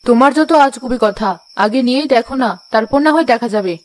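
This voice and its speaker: A woman's voice: a little high, quick pace, natural voice. A woman playing a practical, fretful old village wife and mother in a cartoon fairy tale.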